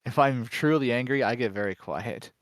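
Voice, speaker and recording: A man's voice: medium pitch, normal speed, no echo. A man chatting casually during a game over a voice call, making dry, wry remarks. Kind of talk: casual gaming chat.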